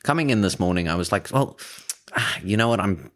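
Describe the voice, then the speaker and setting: A man's voice: fairly low pitch, expressive, moderate pace, fairly bright tone. A man from South Africa whose first language is Afrikaans, co-hosting a podcast in casual, rambling chat and laughing often. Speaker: podcast co-host.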